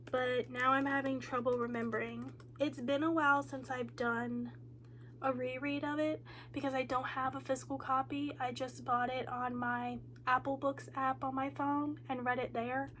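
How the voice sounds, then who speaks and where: A woman's voice: high-pitched, steady tone, a little slow, a bit bright, a little hiss or hum. A woman with a nasal, congested voice from a cold, sounding tired and drained, chatting casually in a reading update vlog with lots of ums and likes. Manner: rambling with many ums and likes. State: tired and low on energy. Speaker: booktuber.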